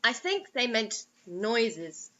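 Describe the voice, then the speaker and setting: A woman's voice: on the high side, very animated, slow, muffled recording, very noisy. A woman making casual, joking interjections as a co-host, quipping with mock indignation. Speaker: co-host heckling the reading.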